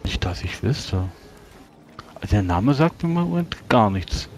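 A man's voice: fairly low pitch, very animated, a little slow, a little mellow, a little hiss or hum. A man roleplaying in a tabletop game, speaking in courteous, formal wording.

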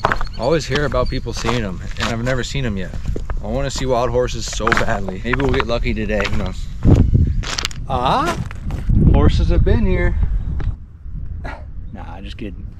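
A man in his thirties talking casually to a handheld camera, upbeat and easily excited, outdoors in the desert and from a parked car. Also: mid-range voice, normal speed, long pauses, very noisy. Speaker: vlogger. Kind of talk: casual travel vlog. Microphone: handheld camera held at arm's length.

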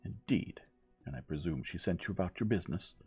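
A man's voice: deep voice, animated, brisk, darkish tone, breathy edge, no room sound, faint music behind, heavily acted. A man voicing a rich, snobbish old woman in an audiobook, icy and biting in manner. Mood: venomous, scornful. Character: haughty, venomous, wealthy old woman, frigid snob.

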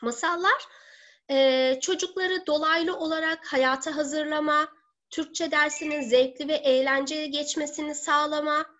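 High-pitched woman lecturing on a video call. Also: a bit bright. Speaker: teacher presenting a webinar. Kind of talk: online seminar lecture. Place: living room at home. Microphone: laptop or webcam mic on video call. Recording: dull lo-fi webcam call audio.

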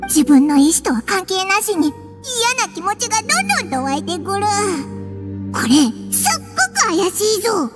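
A woman voicing a tiny, excitable, blunt fairy companion in an anime game, childlike and squeaky. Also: normal speed, over loud music. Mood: uneasy, suspicious. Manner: squeaky, boyish-speaking, animated. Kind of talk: Japanese anime game voice acting.

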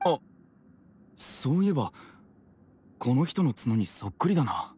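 Sensible ordinary dad, anime game; mild, earnest, expressive melody, light ambient noise. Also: male voice, mid-range voice, measured pace. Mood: uneasy, explaining. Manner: earnest, explanatory.

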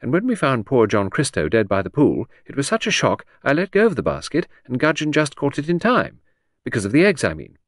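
A man's voice: mid-range voice, huge pitch swings, fairly quick, no echo, clean studio sound, voice pushed up. A man voicing a vague, charming aristocratic older lady who talks in a breezy, scatterbrained gush.

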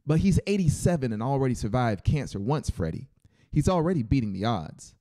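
A cool young cousin in an audiobook, acted by a man, who talks as if he knows it all. The delivery is casual and nonchalant, with a mellow tone. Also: mid-range voice, sing-song, a little fast, no room sound, voice pushed up, close to an everyday voice. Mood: blunt. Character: cool, knowing, hungry young male cousin. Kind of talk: audiobook character voice.

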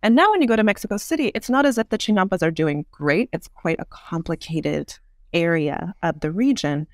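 A woman's voice: on the low side, lively intonation, fairly quick, no room sound, clean studio sound. A woman giving thoughtful, articulate explanations as an interview guest, weighing her points with care. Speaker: podcast guest, urban design expert.

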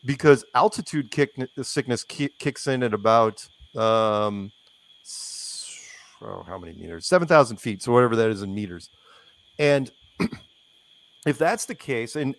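A man talking casually as an interview guest, opinionated and rambling, repeating phrases for emphasis. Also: medium pitch.

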